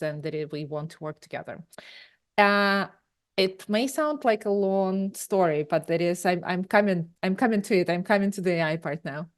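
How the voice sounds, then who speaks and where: Smooth-voiced Ukrainian-accented woman. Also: on the low side. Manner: rambling storyteller with many fillers and restarts. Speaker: guest, tech company founder. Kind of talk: conversational interview answers.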